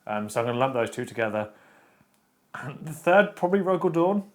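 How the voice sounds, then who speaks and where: A man, co-hosting a casual podcast chat about books, opinionated and quick with restarts. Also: medium pitch, big pitch swings. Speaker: podcast co-host.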